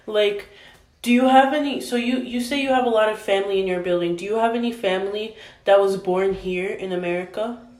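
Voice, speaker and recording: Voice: medium pitch, a little fast, a little mellow. A young interviewer asking questions hesitantly, with many ums. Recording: echoey room.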